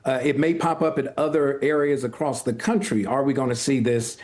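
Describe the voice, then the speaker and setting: A man speaking as an expert guest in a news interview, measured and deliberate, posing rhetorical questions. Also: mid-range voice, fairly even intonation, darkish tone. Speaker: news guest, former air traffic controller. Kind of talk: live news interview analysis.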